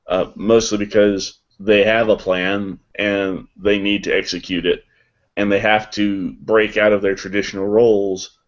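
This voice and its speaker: A man speaking as a voice actor in a casual panel chat, recorded over a lo-fi connection. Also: lowish voice. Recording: dull lo-fi voice call.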